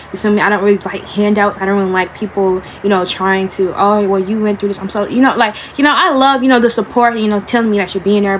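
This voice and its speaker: A young woman from Florida speaks to her viewers at home in a hesitant, halting way, filling her pauses with "um" and "like". Her voice sits at an ordinary middle pitch. A faint hiss of background noise sits under her voice. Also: low-quality recording. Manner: halting, many fillers and restarts, keeps asking "you feel what I'm saying". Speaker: vlogger. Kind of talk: casual storytime vlog.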